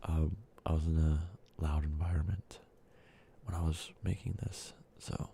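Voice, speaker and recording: A congested man with a very low, gravelly voice talks softly and slips into whispers, rambling through an ASMR video with lots of ums and uhs. His tone is dark and mellow. He sounds sick and short of breath. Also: little pitch movement, measured pace, a little hiss or hum. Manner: soft speaking drifting into whispers, rambling with fillers. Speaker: ASMR creator. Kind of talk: soft-spoken ASMR candy-making ramble.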